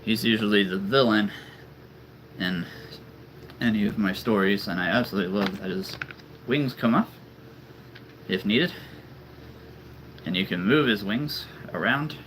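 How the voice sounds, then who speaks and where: A man with a very deep voice, chatting casually and rambling on a live stream as a toy-collecting channel host. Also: measured pace, long pauses, fairly bright tone, slight background noise, low-quality recording. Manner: chatty and rambling, laughs often.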